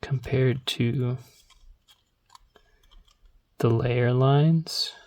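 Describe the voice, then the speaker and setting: Hushed, slow ASMR voice with creaky edges. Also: mid-range voice, fairly even intonation. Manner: hushed, gentle, unhurried, drifting into whisper. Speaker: ASMR video creator and host. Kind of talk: soft-spoken ASMR show-and-tell.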